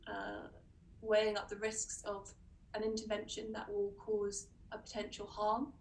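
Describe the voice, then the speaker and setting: A woman's voice: medium pitch, completely flat delivery, unhurried, gravelly, darkish tone. A young British woman doctor with a cold, presenting on a webinar in a hesitant way, full of ums and restarts. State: sniffly with a cold. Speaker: doctor giving a webinar talk. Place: indoor room. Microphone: video call webcam. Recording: distant mic with faint background noise.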